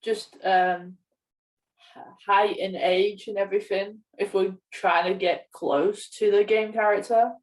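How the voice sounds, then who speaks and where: A young woman chats casually on a podcast. Her voice is mid-pitched and sounds distant from the microphone, with noticeable room echo. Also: fairly even intonation, a little slow. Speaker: podcast co-host, media student. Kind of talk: casual film podcast chat. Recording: roomy echo, distant from mic.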